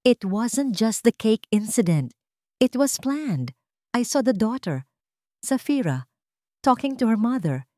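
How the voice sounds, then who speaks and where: A woman's voice: fairly high pitch, very animated, slow pace, long pauses, dark tone, dry recording, pitched above the performer's own voice. A woman plays an indignant businessman in an audio drama, disgusted by betrayal. The delivery is emphatic and clipped, with a close, dry sound. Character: Cantonese businessman on phone, indignant, disgusted by treachery.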